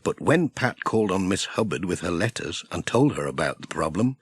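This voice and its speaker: Animated, husky British male storyteller. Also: sits low, brisk, no room sound. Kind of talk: scripted children's audiobook narration.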